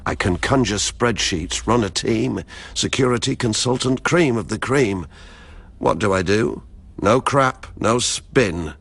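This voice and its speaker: An actor playing a proud, broke gambler and former security man in a verse radio drama, speaking with measured, boastful defiance. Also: fairly low pitch, gravelly, a bit bright, breathy edge, dry recording, heavily acted. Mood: proud, insistent.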